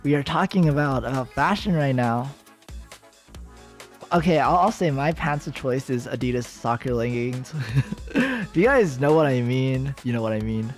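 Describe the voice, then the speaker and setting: A man's voice: medium pitch, lively intonation, normal speed, faint music behind. A young man with an American accent chatting with viewers on a livestream, rambling and giggly, full of fillers and restarts. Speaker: VTuber streamer. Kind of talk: casual livestream chat with viewers.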